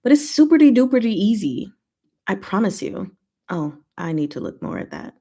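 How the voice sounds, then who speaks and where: A woman's voice: mid-range voice, very animated, normal speed, dark tone. A woman hosting a livestream, chatting informally and giggly as she reads and answers viewer comments. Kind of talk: casual livestream chat, reading comments aloud.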